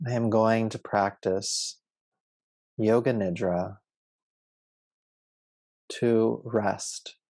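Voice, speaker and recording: Casting: male meditation teacher, lowish voice with creaky fry, soothing and measured, guiding relaxation. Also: steady tone, a little mellow, no room sound. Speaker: yoga nidra meditation teacher.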